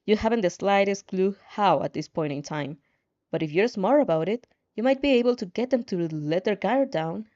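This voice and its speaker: A woman's voice: medium pitch, warm tone, muffled recording. A woman narrating fan fiction expressively, as an amateur storyteller.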